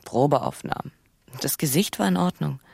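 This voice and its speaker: An actress playing a bitter, passionate, scheming young wife in a naturalistic radio drama, speaking with urgent intimacy and slipping into whispers. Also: female voice, low-pitched, big pitch swings, normal speed, vocal fry, darkish tone, dry recording, exaggerated voice. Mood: bitter, weary.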